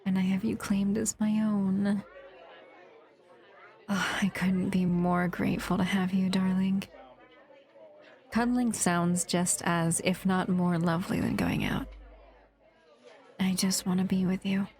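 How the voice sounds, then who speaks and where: Monster girlfriend, female performer, intimate roleplay: slow, crackly murmur, breathy, protective, possessive and adoring. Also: mid-range voice, little pitch movement, darkish tone, some chatter behind. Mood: fond, teasing. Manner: tender, unhurried, doting murmur. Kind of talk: intimate audio roleplay addressed to the listener.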